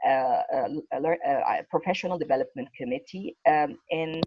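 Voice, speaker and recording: A woman's voice: deep voice, moderate pace, background noise. A woman, hosting and moderating a webinar in a formal manner. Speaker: webinar host and interviewer. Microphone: video call. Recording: dull, dry, lo-fi video-call audio.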